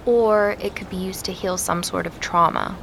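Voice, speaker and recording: A woman's voice: mid-range voice, fairly even intonation, creaky, noisy background, natural voice. An actress playing a curious young woman podcaster who digs into a mystery, asking eager questions in an audio drama. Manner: eager, inquisitive, quick to question. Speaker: podcast host investigating a story.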